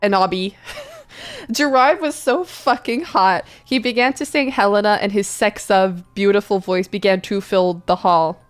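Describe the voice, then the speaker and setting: A woman's voice: mid-range voice, moderate pace, soft background music. A woman reading a story aloud comedically, with casual banter as a co-reader on a live stream.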